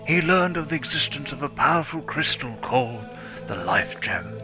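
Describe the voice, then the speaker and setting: A man voicing a wise old male fire dragon mentor, telling the legend in a solemn, measured way, with music underneath. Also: mid-range voice, big pitch swings, close to an everyday voice. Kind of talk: dramatic script reading.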